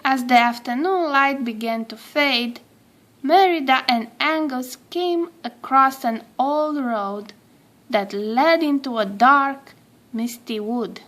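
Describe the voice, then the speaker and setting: A woman with a high voice reads a children's storybook aloud. She takes her time over each phrase and tells it expressively, at a very slow pace. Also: fairly bright tone. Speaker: storybook reader.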